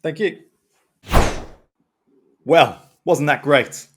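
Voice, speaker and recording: A man's voice: fairly high pitch, very slow, noisy background. A man co-hosting a podcast, talking in a casual, conversational style. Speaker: podcast co-host and writer.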